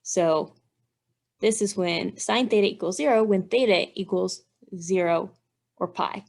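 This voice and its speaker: A woman's voice: medium pitch, unhurried, creaky, a little mellow. A woman engineering instructor giving a methodical, step-by-step walkthrough, thinking aloud as she works through math.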